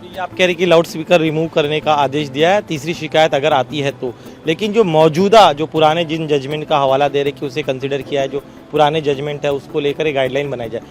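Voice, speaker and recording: A man's voice: on the high side, fairly even intonation, fairly quick, soft background music. A male news reporter interviewing outdoors, pressing his guest to explain things in plain language.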